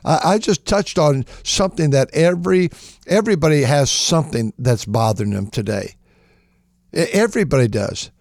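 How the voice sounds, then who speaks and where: A man with a raspy voice preaching an impassioned sermon, stammering and restarting, then surging into shouted praise. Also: a little high, lively intonation, moderate pace, no room sound, studio quality. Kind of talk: fervent radio sermon.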